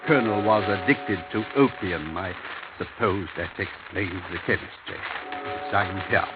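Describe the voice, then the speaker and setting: An actor playing a brisk, fussy older English family lawyer in old-time radio drama, formal and avuncular with small muttered hesitations. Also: male voice, low voice, over music. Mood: businesslike, fussy. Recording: tinny, noisy vintage radio recording.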